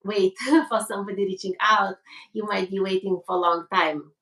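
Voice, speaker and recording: A woman's voice: mid-range voice, fairly even intonation, a bit bright. Lithuanian-accented woman, distant and roomy. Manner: halting, with frequent restarts and fillers. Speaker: guest. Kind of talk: conversational interview.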